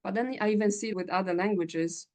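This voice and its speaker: A Polish woman hosting an English-learning podcast, chatting in a lively, encouraging way and laughing often. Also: medium pitch, quick pace. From Poland. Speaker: English teacher and podcast host. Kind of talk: casual interview chat.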